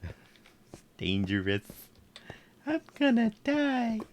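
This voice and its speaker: A man with a low, slightly congested voice chatting casually while playing a game on stream and thinking aloud. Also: huge pitch swings, extremely slow, lo-fi sound, slight background noise. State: congested, throat tickle. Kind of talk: casual live gameplay commentary.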